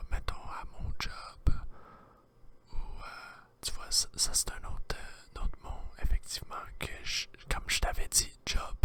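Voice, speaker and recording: Slow, whispering Canadian ASMR host. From Quebec, Canada. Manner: hesitant, halting, rambling.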